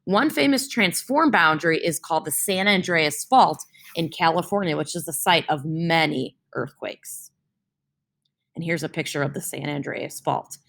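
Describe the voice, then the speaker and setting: A woman's voice: low voice, normal speed, vocal fry, a bit bright. Woman teacher who keeps asking "right?". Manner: explains with homely comparisons and keeps checking in with "right?". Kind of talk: reading a science text aloud for a class.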